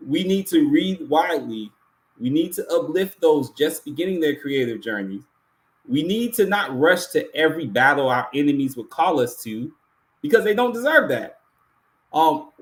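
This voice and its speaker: A man hosting an awards ceremony, giving a prepared closing speech in an earnest, deliberate way with emphatic repetition. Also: on the high side, rough recording. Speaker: awards show host.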